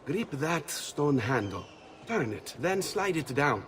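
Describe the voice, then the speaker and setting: A man's voice: a little high, big pitch swings, slow, a little hiss or hum. A man playing a sly, knowing Italian master thief in a video game cutscene, with an Italian accent and dramatic, teasing delivery. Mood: conspiratorial.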